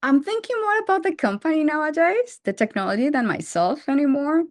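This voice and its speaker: A woman's voice: high-pitched, lively intonation, brisk, dry recording. A woman in her forties with a Colombian accent, a startup founder answering interview questions with frequent restarts and fillers. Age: 40s.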